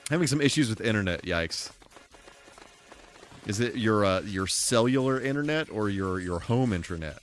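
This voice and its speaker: A man's voice: lowish voice, lively intonation, normal speed. A man giving casual live commentary while playing a video game, full of mild folksy exclamations and mock-exasperated asides. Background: music.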